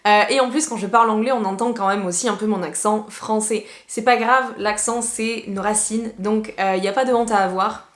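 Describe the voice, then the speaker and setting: A woman from southwest France with a southwestern French accent, giving a casual video lesson as an English teacher, chatty and lively, slipping English phrases in. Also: medium pitch, normal speed, few pauses, echoey room.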